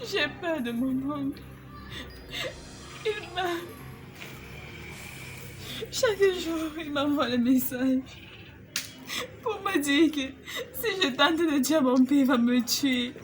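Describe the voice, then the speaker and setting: High-pitched, slow, tearful young woman. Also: soft background music. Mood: sobbing, afraid. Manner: halting, fearful, tearful. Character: frightened, tearful young woman hiding a painful secret. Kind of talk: screen drama acting in French.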